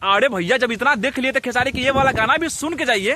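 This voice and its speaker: Very high-pitched Bhojpuri-accented man narrating gossip. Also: quick pace, over loud music. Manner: teasing, exclamatory. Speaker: host of a Bhojpuri entertainment gossip video channel. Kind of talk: scripted comic narration of celebrity gossip.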